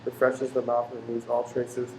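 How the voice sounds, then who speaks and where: A man's voice: mid-range voice, completely flat delivery, moderate pace, soft background music, natural voice. A man playing a pushy street salesman in a radio play, delivering declamatory, bossy sales patter. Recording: echoey room with faint noise.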